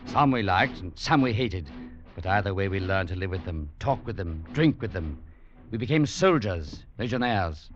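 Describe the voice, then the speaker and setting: Grave, low-voiced young legionnaire. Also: male voice, expressive, moderate pace. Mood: grim resolve. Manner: grave, literate storytelling. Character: weary young legionnaire recounting hard desert soldiering. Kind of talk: 1940s radio drama narration. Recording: muffled vintage radio recording, music behind.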